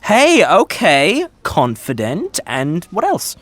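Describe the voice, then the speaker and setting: Methodical young friend, hugely varied intonation, deliberate, patient, prompting, comedy audio drama. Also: male voice, sits high, wildly swooping pitch, a bit bright, no echo, heavily acted.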